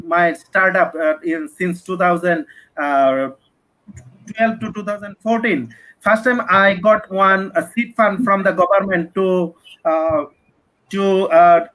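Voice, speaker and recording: A man's voice: high-pitched, pushed and bright, poor audio. A man from Bangladesh with a Bangladeshi accent, an earnest startup founder speaking as an interview guest and searching for his words.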